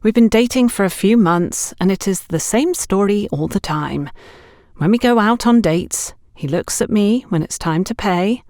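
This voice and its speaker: A woman's voice: medium pitch, animated, moderate pace, warm tone, no echo, clean studio sound, pitched above the performer's own voice, natural voice. A woman voicing an exasperated young saleswoman in an audiobook, complaining with dramatic indignation about a scrounging boyfriend. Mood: aggrieved, complaining. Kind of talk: audiobook character dialogue.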